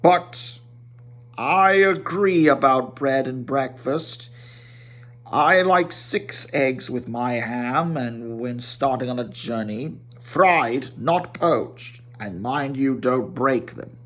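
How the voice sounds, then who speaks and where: A man voicing a proud, grumbling dwarf leader in a storybook reading, gruff and demanding, drawing out his words. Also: medium pitch, slow, fairly bright tone.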